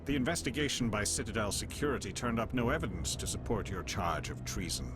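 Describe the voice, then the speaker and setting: An actor playing a stern, skeptical alien councilor in a video game, formal, emphatic and dismissive. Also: male voice, on the low side, animated, a little fast, over music, close to an everyday voice. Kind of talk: dramatic video game acting.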